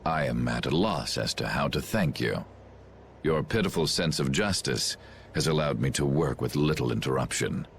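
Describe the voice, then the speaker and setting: An actor playing a scheming middle-aged knight commander in a game, pompous, condescending and theatrical. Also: male voice, extremely low pitch, expressive, normal speed, slight background noise. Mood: smug contempt. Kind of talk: English game dub acting.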